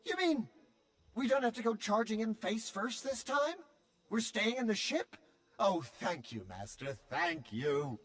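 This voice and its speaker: Casting: anxious, cowardly servant droid for a game; very high, animated, fussy delivery. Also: male voice, a little slow, exaggerated voice. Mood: relieved, obsequious. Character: fretful, cowardly, servile droid sidekick. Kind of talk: video game voice acting.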